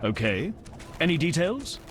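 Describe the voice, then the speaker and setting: Earnest, high-voiced young knight. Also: male voice, big pitch swings, a little slow, a little hiss or hum. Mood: wary. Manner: eager, earnest, formal. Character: earnest, idealistic young knight of a holy order. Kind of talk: video game dialogue acting.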